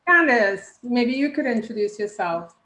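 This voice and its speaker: A woman's voice: fairly high pitch, animated, unhurried, sharp tone. A woman leading a workshop over a video call, speaking casually as she facilitates the group. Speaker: workshop leader. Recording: roomy echoing call audio.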